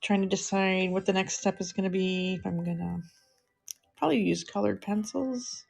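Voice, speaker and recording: A middle-aged woman chatting softly and unhurriedly with viewers while she draws on a livestream. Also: medium pitch, fairly even intonation, moderate pace, vocal fry, soft background music. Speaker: live-streaming artist. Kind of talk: casual livestream chat while drawing.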